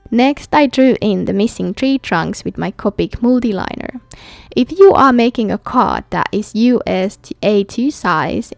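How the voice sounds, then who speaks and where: A woman who grew up speaking Finnish narrates a craft tutorial in English with a Finnish accent. Her voice sits at a middle pitch as she reads out scripted instructions, with music playing faintly behind her. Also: vocal fry, dry recording. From Finland. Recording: background music under voice.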